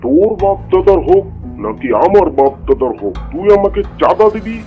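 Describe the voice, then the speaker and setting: A man voicing a domineering tiger landlord in a Bengali cartoon, gravelly and blustering. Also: high voice, lively intonation, a little fast. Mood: threatening, angry. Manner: blustering, swooping, bossy. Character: bullying, domineering male tiger landlord of the jungle. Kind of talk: Bengali cartoon character dialogue. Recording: dull lo-fi audio with music behind.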